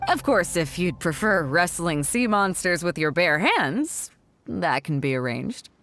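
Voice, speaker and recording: A woman's voice: lowish voice, very animated, a little slow, fairly bright tone, no room sound, heavily acted. A woman playing a confident, teasing female ship captain in a video game, breezy and self-assured. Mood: confident, explanatory. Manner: breezy, playful, self-assured, chuckles while talking. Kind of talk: video game character dialogue.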